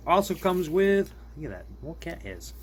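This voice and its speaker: Chuckling man, highish voice, noisy recording. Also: sing-song, normal speed. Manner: chuckling, informal, thinking aloud. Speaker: product reviewer. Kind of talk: casual hands-on gadget demo. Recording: clearly noisy room recording.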